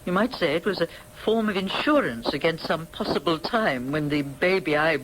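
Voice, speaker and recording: Grave older genteel woman, animated pitch. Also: slight background noise, poor audio. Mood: guarded, remorseful. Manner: formal, measured, precise confessional delivery. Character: stern, dignified, guilt-ridden older upper-class mother, formal and articulate. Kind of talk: radio drama acting.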